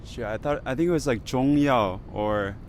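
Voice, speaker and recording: A man's voice: mid-range voice, normal speed. A man in his twenties with an American accent, a student chatting casually in a street interview. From United States. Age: 20s. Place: outdoors on a university campus. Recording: outdoor street with clear traffic noise.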